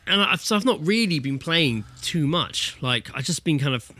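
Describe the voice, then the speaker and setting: A man's voice: a little high, lively intonation, moderate pace, sharp tone. A British man co-hosting a podcast in chatty, sweary casual conversation. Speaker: podcast co-host. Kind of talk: casual chat.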